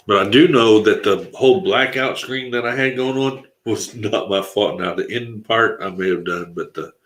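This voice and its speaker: A man's voice: lowish voice, fairly bright tone. A congested man hosting a casual live stream, talking in a rambling, laid-back way with lots of fillers. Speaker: livestream host. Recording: roomy echo.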